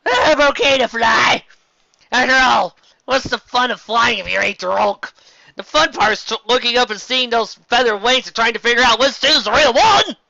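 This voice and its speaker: A boozy, reckless tavern pony voiced by a man rambles and brags in a high, bright voice. It is storybook character work on a dry, slightly muffled recording. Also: normal speed, creaky, no room sound. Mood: tipsy, boastful. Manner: boastful, rambling. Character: boozy, reckless tavern pony bragging about flying drunk.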